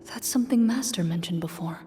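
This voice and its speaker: A woman playing a composed, reserved game master in a video game, narrating in a measured, solemn manner. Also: on the low side, music in the background, close to an everyday voice. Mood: pensive.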